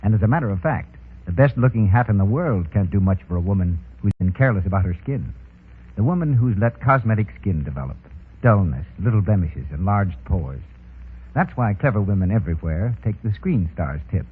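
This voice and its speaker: A man's voice: fairly low pitch, animated, brisk, husky. A man reading a scripted sponsor advertisement as a 1930s radio announcer, with practiced sales patter. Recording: tinny phone-like 1930s radio recording.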